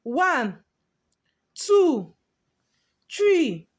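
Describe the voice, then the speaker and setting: A woman's voice: high voice, big pitch swings, very slow, voice pushed up, exaggerated voice. A woman voicing a bullying teenage senior prefect, domineering and sly, in a story told aloud. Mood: barking. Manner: barking, commanding, turning sickly sweet.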